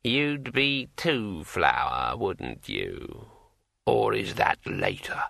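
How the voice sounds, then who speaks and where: A man voicing a dead old man in a storybook, brittle and aged, rambling conversationally in halting, drawn-out phrases. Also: on the low side, huge pitch swings, gravelly, pushed and bright, dry recording, clean studio sound, pitched below the performer's own voice, full character voice. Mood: musing, conversational. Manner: slow, halting, drawn-out delivery.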